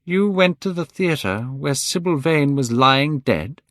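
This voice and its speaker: A man reading aloud, voicing an older painter who sounds worried, gentle and pleading. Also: mid-range voice, big pitch swings, moderate pace, no room sound, studio quality, pitched above the performer's own voice. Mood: anxious, pleading.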